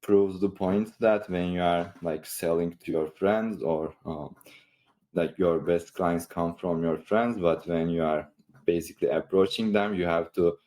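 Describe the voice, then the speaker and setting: Man, Turkish accent, deep pitch, very soft dark tone, casual podcast chat, halting. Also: steady tone. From Istanbul, Turkey. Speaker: online creator and coach, podcast participant.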